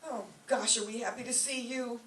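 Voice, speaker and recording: A woman's voice: on the high side, steady tone, moderate pace, a bit bright, natural voice. A woman playing a sensible, long-suffering roommate who spooks easily, with emphatic radio comedy delivery. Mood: alarmed. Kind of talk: live old-time radio comedy acting. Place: echoey room, mic at a distance. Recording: roomy, distant live stage recording, faint noise.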